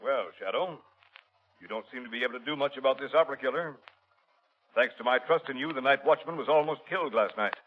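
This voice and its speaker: An actor playing a blunt, exasperated police commissioner with an authoritative manner in a 1930s radio drama. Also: male voice, mid-range voice, fast, natural voice. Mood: irritated. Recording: tinny, phone-like 1930s radio recording.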